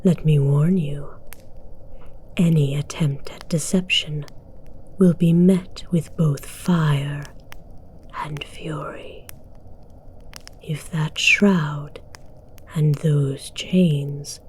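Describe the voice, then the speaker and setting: A woman playing a haughty, menacing dragon queen in an ASMR roleplay, whispering in an imperious, formal, breathy way. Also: low voice, steady tone, a little mellow, slight background noise. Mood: threatening. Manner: imperious, slow, deliberate, formal.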